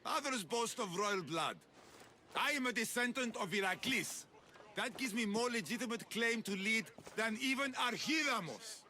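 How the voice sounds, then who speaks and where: An actor playing a ruthless, proud Spartan general in a video game, speaking in a boastful, curt, imperious way. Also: male voice, very high voice, animated, normal speed, bright, forceful tone, slight background noise, full character voice. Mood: proud, commanding. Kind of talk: scripted video game acting.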